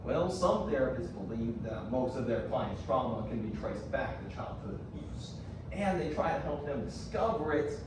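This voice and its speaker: A man acting a grave, serious witness who recounts a case in measured explanatory stage dialogue. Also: mid-range voice, animated, close to an everyday voice. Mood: grave explaining. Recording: echoey hall from far off, noisy.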